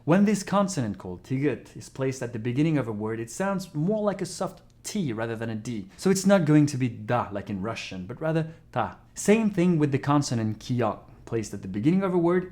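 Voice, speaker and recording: A man's voice: medium pitch, very animated, fairly quick, hardly any pauses, a little mellow. A man who is a non-native English speaker giving a casual, frank video lesson on learning a language. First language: not English. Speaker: language teacher YouTuber.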